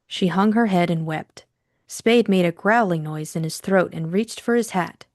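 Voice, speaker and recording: A woman's voice: on the low side, normal speed, a little mellow, no echo. Woman narrating an audiobook, very dry sound.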